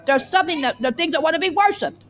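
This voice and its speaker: A woman's voice: high voice, expressive, rapid-fire, fairly bright tone. A woman giving a rambling, unscripted religious monologue in an insistent, repetitive, disjointed way. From Israel. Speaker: self-styled religious teacher introducing the weekly Torah portion.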